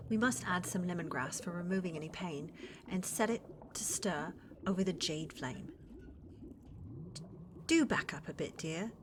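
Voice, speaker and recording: A woman's voice: fairly low pitch, background noise. A woman playing a flirty, controlling witch mistress in a medieval fantasy ASMR roleplay, coaxing and genteel in her manner. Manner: coaxing, genteel, calls others dear.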